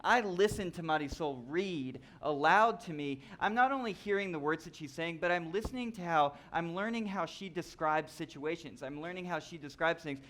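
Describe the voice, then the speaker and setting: A man's voice: on the high side, moderate pace, few pauses, a bit bright. A man co-presenting a live slideshow talk to an audience.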